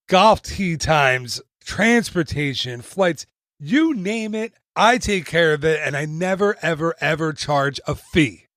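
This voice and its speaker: A man's voice: fairly high pitch, fairly bright tone, no echo, studio quality. A man hosting a travel podcast, reading an upbeat promo in a chatty way with self-deprecating jokes. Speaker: podcast host and travel agent. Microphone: studio mic.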